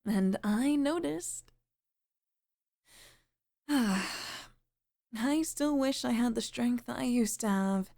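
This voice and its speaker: A woman voicing a sweet, middle-aged single mother in an intimate ASMR roleplay, speaking softly and flirtatiously with sighs and pauses. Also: fairly high pitch, vocal fry, full character voice. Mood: grateful, warm.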